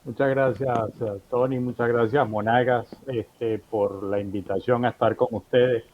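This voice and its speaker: A man who grew up in Portugal, a political consultant giving measured, explanatory answers in an interview. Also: mid-range voice, steady tone. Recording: muffled remote line with faint music.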